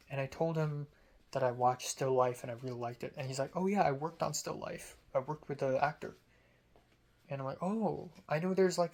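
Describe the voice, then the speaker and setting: A young man, a college student, telling a rambling, self-amused story in a casual vlog. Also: on the high side. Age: young adult.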